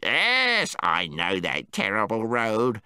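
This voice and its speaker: Guy doing a nervous little gnome, squeaky and fretful, voice jumping all over the place, dry sound. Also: wildly swooping pitch, slow pace, sharp tone, clean tone, no echo, heavily acted. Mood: frightened. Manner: fretful, squeaks when scared. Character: timid excitable male gnome. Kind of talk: audiobook character voice.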